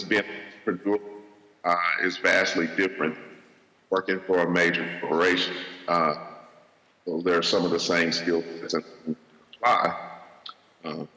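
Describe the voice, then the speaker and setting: A man answering questions on a live panel, speaking in a halting, reflective way with pauses between phrases. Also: deep voice, pushed and bright. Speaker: entrepreneur panelist, chocolatier. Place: large event hall on stage. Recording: echoey hall with long reverb.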